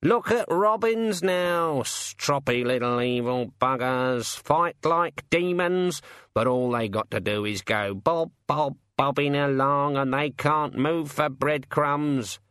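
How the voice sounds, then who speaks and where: A man puts on a talking raven with a sour, rambling gripe about the world. The voice sits higher than usual and drags slowly. It is a storybook creature, all complaint. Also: fairly bright tone, clear, resonant tone, no echo, clean studio sound. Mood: grumbling. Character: cynical chatty male raven, grumbling.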